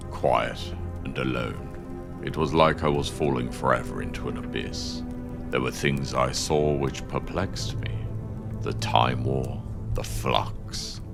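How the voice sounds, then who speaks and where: Gravelly, abyssally deep ancient vampire villain. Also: male voice, exaggerated voice. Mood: ominous. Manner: slow, ominous, grandiose. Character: resurrected ancient vampire Time Lord cult villain, commanding, sinister. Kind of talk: audio drama villain acting. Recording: loud music under the voice.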